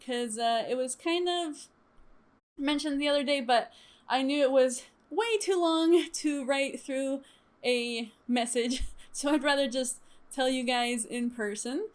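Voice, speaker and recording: A young woman from Chile chatting casually to her stream viewers, lively and laughing often, with many fillers. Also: sits high, unhurried. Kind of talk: casual storytime chat.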